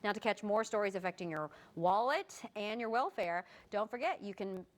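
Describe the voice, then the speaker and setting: A woman's voice: mid-range voice, animated, normal speed. A woman with an American accent working as a morning news anchor, moving between news reading and casual banter. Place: TV studio.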